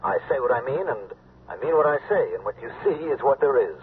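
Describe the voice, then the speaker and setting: An actor playing an anguished, evasive politician haunted by nightmares and a secret, in old-time radio drama style. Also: male voice, mid-range voice, expressive, brisk, phone-quality sound, close to an everyday voice. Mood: guarded. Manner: evasive, repetitive, protesting. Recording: echoey, band-limited old radio recording, faint noise.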